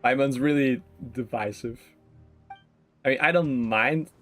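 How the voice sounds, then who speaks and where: A man's voice: mid-range voice, a little slow, fairly bright tone, soft background music. A man giving casual, jokey live commentary on a game, often muttering in broken fragments. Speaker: game streamer.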